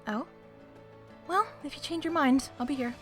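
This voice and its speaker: A woman's voice: high-pitched, moderate pace, fairly bright tone, faint music behind. A woman playing a sly, self-assured librarian with arch, playful, theatrical phrasing in an audio roleplay. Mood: prim, smug.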